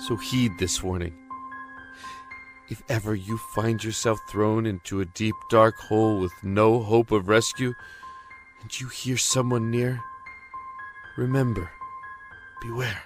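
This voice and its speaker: A man's voice: lowish voice, close to an everyday voice. An actor playing a haunted young prisoner who tells of his descent into madness in a solemn, intense audio drama monologue. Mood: ominous warning. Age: young adult. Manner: solemn, deliberate, intense storytelling.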